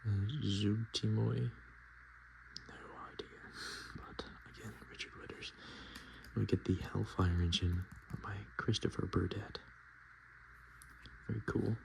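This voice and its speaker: Hushed, gravelly low-voiced ASMR man. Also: fairly even intonation, slow pace, pauses often, low-quality recording. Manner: hushed, casual murmured asides. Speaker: ASMR video host. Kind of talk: ASMR, softspoken and whispered card show-and-tell.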